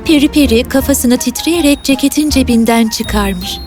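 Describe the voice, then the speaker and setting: A woman's voice: high-pitched, moderate pace. A woman narrating a scripted fairy tale for children as a storyteller. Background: music.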